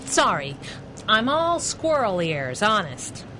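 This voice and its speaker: A woman's voice: medium pitch, big pitch swings, slow, background noise. An actress playing a sassy young female sidekick in a 1930s radio drama, with a cheeky, slangy, teasing way of talking. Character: sassy, wisecracking young woman, superhero's loyal sidekick.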